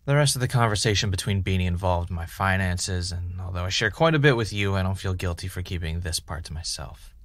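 An actor playing an obsessive, dry-witted middle-aged podcaster in a scripted audio drama. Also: male voice, sits low, animated, brisk, few pauses, fairly bright tone, dry recording. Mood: matter-of-fact. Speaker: podcast host.

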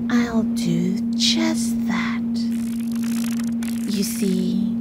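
A woman voicing a menacing, possessive female naga in an ASMR roleplay, teasing and condescending in a breathy half-whisper. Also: lowish voice. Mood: possessive, coaxing.

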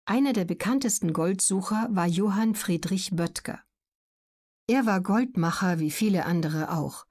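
A woman reading scripted documentary narration for an audiobook in a measured, clearly enunciated way. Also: mid-range voice, darkish tone.

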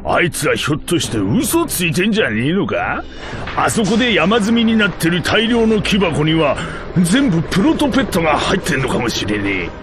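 An actor playing a hulking, boastful, dim-witted alien thug boss in a video game, with rough gangster talk and blustering threats. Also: male voice, a little high, big pitch swings, moderate pace, background noise. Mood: suspicious. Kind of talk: video game cutscene acting. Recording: music behind the voice.

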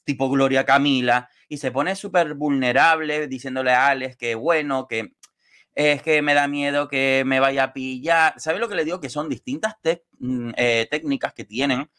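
A man's voice: mid-range voice, moderate pace, a bit bright. A man, giving wordy, gossipy reality-show commentary in casual talk on a video call from home. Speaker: online show co-host and commentator.

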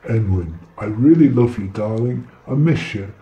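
A man acts out a vain alien telepath in a comic audio drama. He is smug and theatrical, boasting and easily sidetracked, with a mellow, relaxed tone and a creaky, gravelly edge. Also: lowish voice, moderate pace, muffled recording. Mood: mock romantic. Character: vain, boastful, deep-voiced alien relaying messages telepathically.

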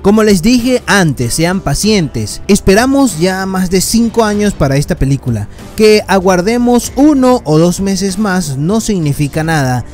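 A man with a fairly high voice talks through a casual film review as a voice-over. He sounds earnest and keeps speaking to his viewers as friends. Soft music plays faintly underneath. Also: big pitch swings, measured pace, few pauses. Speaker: film reviewer, channel host.

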